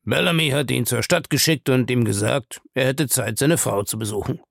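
A man voicing a shady, pushy male schemer in an audiobook, insistent, ironic and scornful, with a gravelly voice. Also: medium pitch, moderate pace, dry recording, clean studio sound. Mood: offended, businesslike. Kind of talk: audiobook character dialogue.

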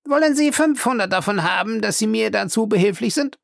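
A reader voicing a scheming male police detective who speaks in a low, confiding, persuasive way. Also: animated, brisk, gravelly, no echo, clean studio sound.

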